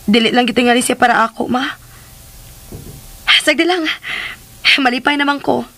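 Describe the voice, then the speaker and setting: A woman's voice: on the high side, moderate pace, sharp tone. A woman playing a caring, sorrowful young adult daughter in a radio drama, speaking in an earnest, pleading way. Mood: tender, pleading. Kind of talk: Philippine radio drama acting.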